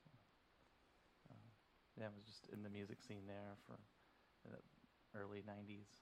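A man from Denton, Texas, a podcast guest, talking casually and haltingly, full of "like" and "um". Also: lowish voice, monotone, a little hiss or hum, rough recording. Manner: halting, lots of "like" and "um".

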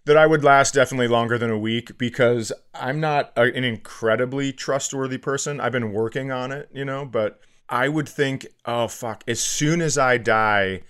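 A man with a medium-pitched voice chats casually as a comedy podcast host. He thinks out loud through silly hypotheticals in a conversational, self-deprecating way, swearing now and then. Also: moderate pace, hardly any pauses, clean tone.

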